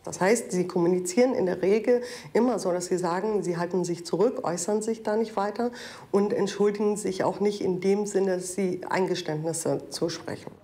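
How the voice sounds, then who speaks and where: A woman lawyer giving a measured expert explanation in an interview. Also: mid-range voice, dark tone.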